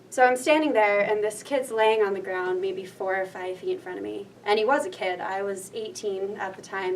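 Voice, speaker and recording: A young woman from Rhode Island telling an earnest personal story on stage. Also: mid-range voice. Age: young adult. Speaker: student storyteller. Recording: distant mic with faint noise.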